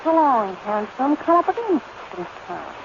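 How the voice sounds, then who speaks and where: A woman's voice: sits high, big pitch swings, normal speed, vocal fry, exaggerated voice. A woman playing a tough, flirtatious saloon singer with slangy, wisecracking drawl in a 1930s radio comedy. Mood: cool, bossy. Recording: muffled, noisy 1930s radio recording.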